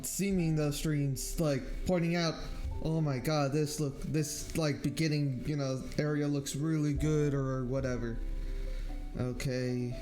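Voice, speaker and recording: A man chatting casually on a game livestream in a halting, hesitant way, thinking aloud as he goes. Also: fairly high pitch, a little mellow. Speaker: game streamer. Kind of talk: casual livestream chat, rambling review.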